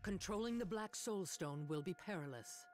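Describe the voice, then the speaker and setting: A woman's voice: on the low side, lively intonation, moderate pace, a little hiss or hum, lightly acted. A woman playing a stern, ruthlessly dedicated older sorceress mother in a video game, with a commanding, intense delivery. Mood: grave, resolute. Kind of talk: dramatic video game acting. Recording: game music behind the voice.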